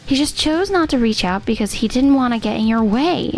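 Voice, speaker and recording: An outspoken young office woman, voiced by an actress for a manga dub over music. The voice is high and expressive, assertive and protective. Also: fairly quick. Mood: indignant, protective. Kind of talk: English manga dub acting.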